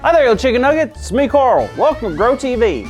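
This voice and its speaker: Eager goofy male host for kids, wild swoops in pitch, gushing. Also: very animated, fast, over music. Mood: cheery. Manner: bubbly, overexcited, easily amazed. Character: goofy enthusiastic male kids' show host. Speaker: host of kids' Bible show. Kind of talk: children's Bible show comedy.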